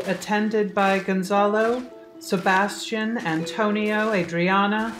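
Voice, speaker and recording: A woman's voice: medium pitch, low-quality recording. A woman narrating a radio play, reading scripted stage directions.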